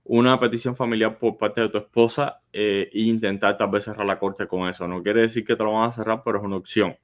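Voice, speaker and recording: Male lawyer guest, fairly low voice, plain-spoken explanatory advice, step by step. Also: fairly even intonation, a little fast. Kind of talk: live legal advice, explanatory.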